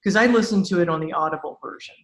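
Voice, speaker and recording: A woman's voice: on the low side, fast. A woman hosting a casual podcast interview, talking informally and rephrasing loosely as she goes. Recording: roomy, echoing sound.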